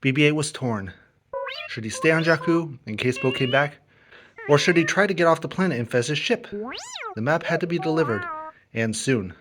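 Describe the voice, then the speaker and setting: A man narrating a children's story as an expressive read-along. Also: a little high, normal speed, noisy background. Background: music and sound effects.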